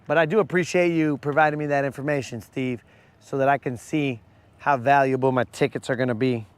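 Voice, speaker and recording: Hoarse, slangy fishing host outdoors. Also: male voice, medium pitch, steady tone, brisk. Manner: casual, slangy, calls viewers bro. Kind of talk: live-stream chat while fishing. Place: outdoors on a boat on a lake.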